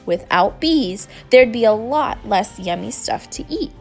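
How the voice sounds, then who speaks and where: A woman reading a children's book aloud in an expressive, scripted narrating style. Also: on the high side, measured pace, vocal fry, faint music behind. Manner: expressive, acts out dialogue. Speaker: storybook reader.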